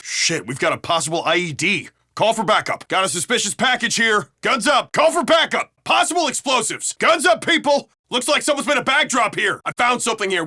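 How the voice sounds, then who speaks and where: A man's voice: high-pitched, bright, forceful tone, full character voice. A man playing a jaded, grumbling, profane city beat cop in a video game. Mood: urgent, shouting. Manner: profane, dry, conversational grumbling. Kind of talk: video game character barks and banter.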